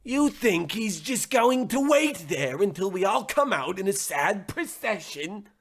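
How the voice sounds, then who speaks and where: A gossipy tenement worrier played by a man with a high, crackly voice. He complains wordily and treats everything as doom, in the mock-dramatic style of old radio plays. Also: big pitch swings, measured pace, exaggerated voice. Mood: mock-dismayed. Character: gossipy, worrying, doom-laden male tenement neighbour. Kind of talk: parody of old-time radio acting.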